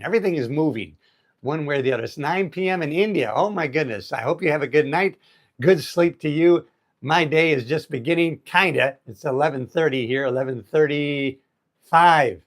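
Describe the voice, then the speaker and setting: A man in his sixties hosting a casual motivational livestream outdoors on an island, upbeat and chatty as he greets viewers. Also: on the high side. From United States. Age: 60s. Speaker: livestream host. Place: outdoors on an island in heavy rain. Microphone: phone held in vertical mode.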